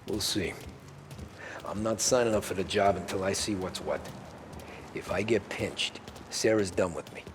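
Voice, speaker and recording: A man's voice: low-pitched, moderate pace, noisy background, lightly acted. An actor playing a cautious, world-weary American mobster and family man, terse and streetwise, in a crime game. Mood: guarded. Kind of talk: cinematic crime game acting. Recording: music behind the voice.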